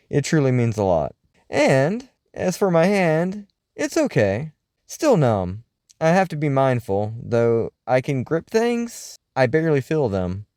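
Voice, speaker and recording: A man playing a wry, uneasy host of a late-night paranormal radio show, speaking in a scripted, folksy, theatrical way with deliberate pauses. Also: on the high side, a little mellow, no room sound, clean studio sound.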